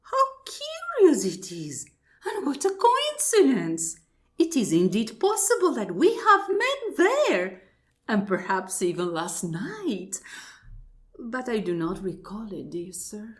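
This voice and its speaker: A woman's voice: on the high side, wildly swooping pitch, takes it slowly, full character voice. A woman playing a genteel, wonderstruck married lady in an absurdist radio play, formal and exclamatory, repeating her polite phrases. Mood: amazed. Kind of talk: absurdist stage dialogue played as radio drama.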